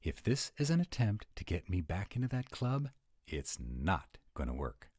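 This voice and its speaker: A man playing a gruff, grumbling tsundere were-dragon who is secretly soft, in an audio roleplay addressed to the listener. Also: deep voice, big pitch swings, normal speed, no room sound, studio quality, full character voice. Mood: suspicious, grumbling. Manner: grumbling, exasperated, softening into boyish eagerness.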